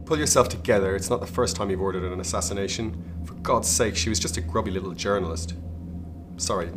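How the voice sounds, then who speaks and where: A man's voice: on the low side, fast, music in the background, lightly acted. A man playing a cool, contemptuous intelligence henchman in a radio drama. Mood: cold, dismissive. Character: cold, dismissive male intelligence henchman.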